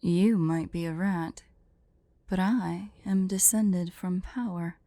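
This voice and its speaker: A woman voicing a proud, teasing tsundere dragon in human form for an ASMR roleplay, soft and haughty. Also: lowish voice, fairly even intonation, takes it slowly, no echo. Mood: smug, teasing. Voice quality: soft, mellow.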